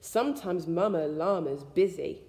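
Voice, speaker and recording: A woman reads a rhyming children's picture book aloud in an expressive, sing-song storytelling way. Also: on the low side, a little slow. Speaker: school staff member reading a bedtime story. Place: school room with suspended ceiling, indoors. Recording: echoey indoor room with long reverb.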